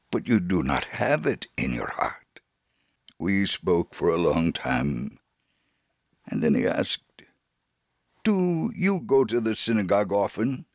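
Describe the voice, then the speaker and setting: A man reading a first-person faith story aloud as scripted narration, in a measured, solemn manner. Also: low voice, vocal fry, slightly rough, no room sound, studio quality.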